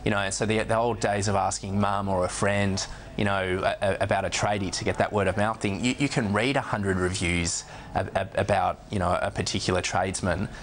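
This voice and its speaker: A man's voice: sits low, little pitch movement, a little fast, a little hiss or hum. A man in his thirties with an Australian accent talks conversationally in an interview, often repeating words and saying "you know". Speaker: studio guest, online business representative. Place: television news studio, indoors.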